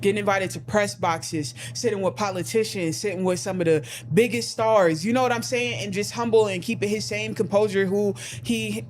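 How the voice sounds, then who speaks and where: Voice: mid-range voice, steady tone, moderate pace, hardly any pauses. Rambling stream co-host. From United States. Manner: rambling, many ums and restarts. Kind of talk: casual stream discussion.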